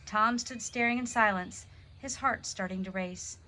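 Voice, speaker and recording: A woman reading a children's storybook aloud in an animated way, stressing key words for drama. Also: on the high side, measured pace, a little hiss or hum.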